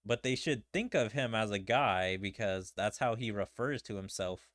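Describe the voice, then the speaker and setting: A man's voice: on the low side, normal speed, fairly bright tone, dry recording, studio quality. A man giving casual, slangy gaming commentary as a streamer. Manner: slangy, informal. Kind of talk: casual let's play commentary, reading game dialogue aloud.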